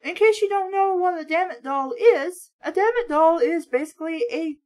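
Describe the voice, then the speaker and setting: Female read-along host, high voice, opinionated and foul-mouthed, mixing book narration with sarcastic asides. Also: animated, moderate pace, a little mellow. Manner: blunt, sweary, opinionated. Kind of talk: book reading with ranting, sarcastic commentary.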